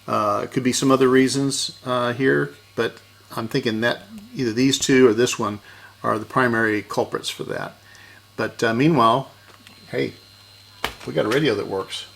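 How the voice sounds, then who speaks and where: A man's voice: mid-range voice, steady tone, normal speed, gravelly, a bit bright. A man giving unscripted hands-on repair commentary, thinking aloud methodically and correcting himself as he goes. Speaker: electronics repair host.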